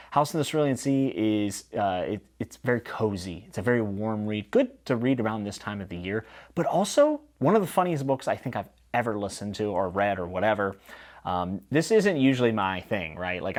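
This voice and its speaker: A man with a fairly deep voice hosts a casual book wrap-up. He speaks informally and with enthusiasm, putting emphasis on his opinions as he chats to the viewer. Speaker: book review channel host.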